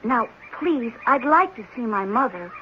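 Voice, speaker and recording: A woman's voice: fairly high pitch, animated, unhurried. A woman playing an earnest, polite and determined young boy in a 1970s radio drama. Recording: dull, slightly noisy 1970s radio recording.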